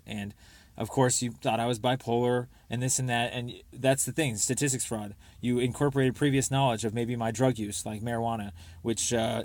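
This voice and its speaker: A man's voice: medium pitch, a little mellow. A young American man leaving a rambling personal voicemail, speaking in an insistent, aggrieved and repetitive way.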